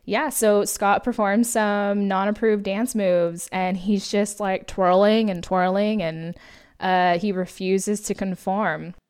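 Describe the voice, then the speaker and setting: A woman's voice: medium pitch, steady tone, takes it slowly, darkish tone, clear, resonant tone. An American woman co-hosting a podcast, rambling through a movie recap with lots of fillers while losing her voice. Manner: rambling, filler-heavy, laughs often. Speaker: podcast co-host. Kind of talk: casual movie review chat.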